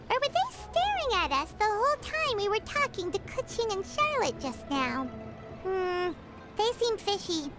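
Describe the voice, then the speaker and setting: Squeaky, excitable little fairy. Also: female voice, exaggerated voice. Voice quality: squeaky, childlike. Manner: speaks of self in third person, exclamatory. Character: tiny excitable childlike female fairy companion, bold and suspicious. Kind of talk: animated video game voice acting. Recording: game music behind the voice.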